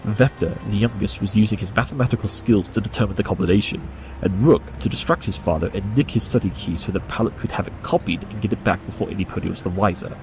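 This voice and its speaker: An actor playing a brilliant, smug pony detective, speaking in a measured, condescending manner in an audio drama. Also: male voice, fairly low pitch, over music, lightly acted, rough recording. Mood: analytical.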